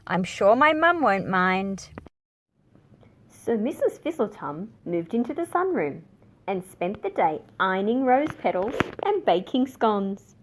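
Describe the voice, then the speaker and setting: Very animated woman reading to children. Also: a little high. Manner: expressive, engaging the listener with questions. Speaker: children's story reader. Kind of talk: picture book read aloud for children.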